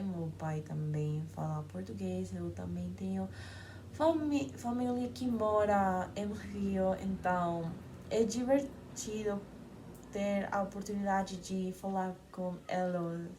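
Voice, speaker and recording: Slow, mellow young woman. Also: fairly low pitch, steady tone, a little hiss or hum, poor audio. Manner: hesitant, with restarts. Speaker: university student. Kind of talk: class video talk.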